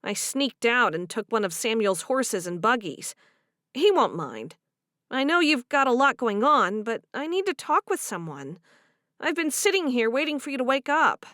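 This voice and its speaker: A woman voicing a young woman who is upset and close to tears, talking in a soft, pleading way. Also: fairly high pitch, very animated, normal speed, no room sound.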